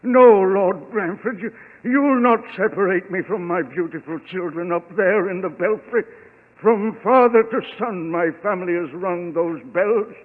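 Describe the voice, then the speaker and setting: Anguished bell ringer, very high voice, slow obsessive crooning, old radio drama, echoing tinny recording. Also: male voice. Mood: distraught pleading. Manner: pleading, melodramatic, crooning to bells as children. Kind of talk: 1940s radio acting.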